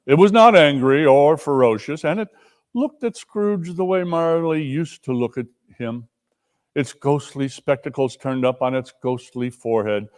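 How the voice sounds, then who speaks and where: A man narrating a classic story in a deliberate, suspenseful dramatic reading. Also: fairly high pitch, a little mellow. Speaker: narrator and performer.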